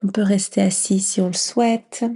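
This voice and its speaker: A woman leading a guided yoga nidra meditation in a gentle, soothing near-whisper with long pauses. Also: mid-range voice, steady tone, husky.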